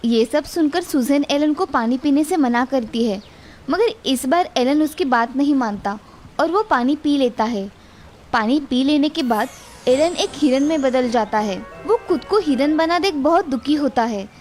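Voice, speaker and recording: A woman narrating an animated children's story in a steady, clear storytelling manner. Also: sits high, unhurried, faint music behind.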